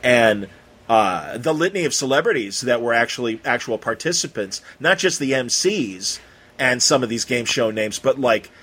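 Husky-voiced man hosting, rambling and effusive. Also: medium pitch, expressive, normal speed, fairly bright tone. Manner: effusive, rambling, stumbling over phrases. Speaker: interview host. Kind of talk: casual conversational interview.

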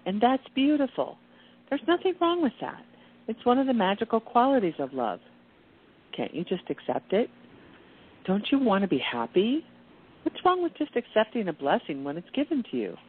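A woman playing a calm, cool, sanctimonious friend who pries into feelings in a radio play. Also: mid-range voice, normal speed. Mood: earnest, soothing. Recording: thin phone-like audio.

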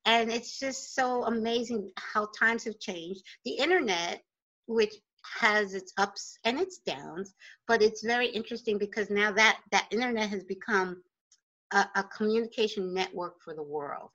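A woman's voice: mid-range voice, moderate pace, fairly bright tone, dull audio. A woman with a New Jersey accent hosting an interview from her home office, talking in a chatty, rambling way and laughing easily. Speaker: radio show host. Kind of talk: casual interview chat.

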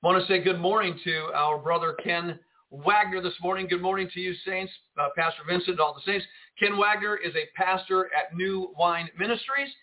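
A man, a pastor and radio host, preaching fervently and greeting listeners on a live broadcast, swinging between loud emphasis and quieter asides. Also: sits high, normal speed. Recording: tinny phone-line audio.

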